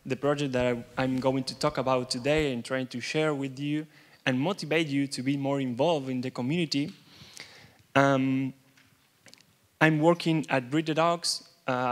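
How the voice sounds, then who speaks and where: A young man from Argentina, a native Spanish speaker with an Argentine accent, giving a nervous, halting conference keynote in a large hall. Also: fairly high pitch, a bit bright. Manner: nervous, halting, many restarts.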